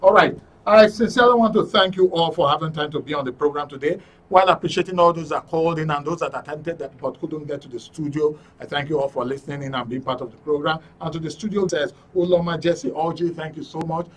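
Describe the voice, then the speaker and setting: A man's voice: on the high side, fairly even intonation, quick pace, a bit bright. A Nigerian man hosting a live call-in programme, speaking courteously with frequent hesitations. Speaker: radio and TV show host. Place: broadcast studio. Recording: distant mic, noticeable background noise.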